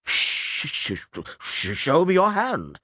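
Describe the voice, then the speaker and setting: A man's voice: high-pitched, animated, a little slow, breathy edge, no room sound, voice pushed up, exaggerated voice. A man playing a fanatical, easily rattled village priest in a fantasy audiobook, with an overwrought, unsteady delivery. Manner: bellowing, shrieking, stammering when rattled. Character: fanatical, frightened, shrieking male village priest.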